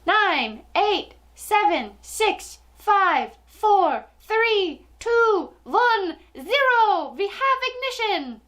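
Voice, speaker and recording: A woman's voice: very high voice, sing-song, very slow, hardly any pauses, a bit bright, pitched above the performer's own voice, full character voice. A woman voicing a gleeful, tyrannical witch leader in a storybook, with a commanding, theatrical manner. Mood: excited countdown. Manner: commanding, theatrical, shrieking countdowns and proclamations. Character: gleeful, tyrannical Grand High Witch, syrupy when luring.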